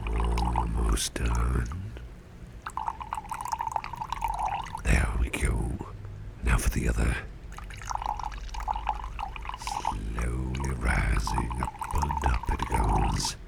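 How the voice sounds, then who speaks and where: A man playing a caring businessman boss in an ASMR roleplay, speaking in a gentle, halting half-whisper and soothing the listener. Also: rumbling low, gravelly, very noisy, full character voice. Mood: gentle, reassuring. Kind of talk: ASMR roleplay, personal attention.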